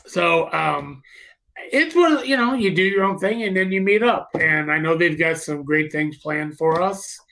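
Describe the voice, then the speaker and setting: A man's voice: a little high, lively intonation, moderate pace, creaky, pushed and bright, rough recording. A man co-hosting a live show in casual chat, rambling a little and repeating words as he goes.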